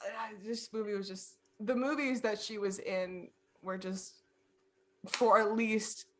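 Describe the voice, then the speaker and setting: A woman's voice: mid-range voice, steady tone, slow pace, a bit bright. A woman guest on a casual podcast, talking in a chatty rambling way with many fillers and frequent giggles. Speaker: guest streamer.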